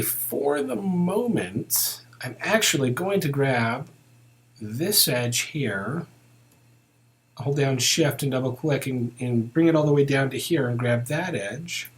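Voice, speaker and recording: A man with a medium-pitched voice teaches software step by step. He speaks slowly and informally, thinking aloud as he goes, in the manner of an instructor demonstrating on screen.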